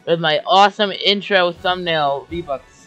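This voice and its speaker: A young man streaming a war strategy game from a desk at home, yelling at the game and putting on silly voices. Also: on the high side, unhurried, highs cut off, soft background music. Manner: yelling and screeching at the game, silly mock accents. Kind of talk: excitable gaming commentary.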